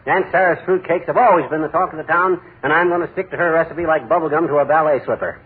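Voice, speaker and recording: An actor playing a boastful, bumbling middle-aged husband in a 1940s radio comedy, talking in a brash, wisecracking way. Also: male voice, on the high side, steady tone, quick pace, slightly rough. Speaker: comic lead of a radio sitcom. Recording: noisy 1940s radio broadcast, lo-fi.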